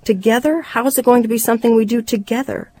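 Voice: medium pitch, fast talker, a little mellow, voice pushed up, lightly acted. A reader voicing a hurt, sarcastic wife from a memoir. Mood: hurt, challenging.

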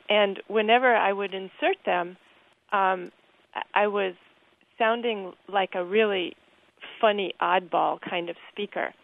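A woman being interviewed about her book, talking thoughtfully with frequent pauses and restarts. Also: medium pitch, no room sound. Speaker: guest author. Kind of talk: interview conversation. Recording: tinny phone-like line.

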